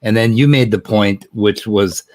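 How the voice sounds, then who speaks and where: A man's voice: on the low side, a little slow, darkish tone. A man hosting a casual panel chat, blunt and joking as he rambles.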